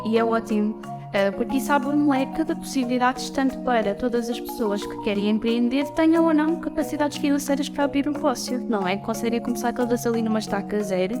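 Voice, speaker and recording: Quick-talking woman. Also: a little high. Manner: quick, fluent, explanatory. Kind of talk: explaining in an interview. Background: loud background music.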